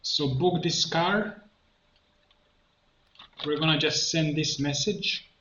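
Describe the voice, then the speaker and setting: Slow, bright-voiced man in an echoey room. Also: fairly high pitch. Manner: halting, instructional, narrating each click. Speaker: coach giving a software tutorial. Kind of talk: unscripted screen-share tutorial, thinking aloud step by step. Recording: muffled lo-fi, roomy echo, background noise.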